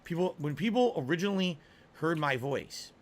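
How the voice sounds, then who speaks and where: A man with a higher-pitched voice, streaming a video game and complaining about how hard it is. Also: lively intonation, normal speed. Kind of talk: live gameplay stream talk. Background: game music.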